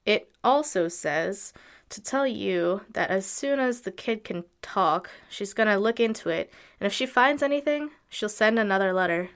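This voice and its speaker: A woman's voice: medium pitch, expressive, normal speed, a bit bright. A woman playing a practical, wary travelling musician with dry wit in an audio drama. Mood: matter-of-fact.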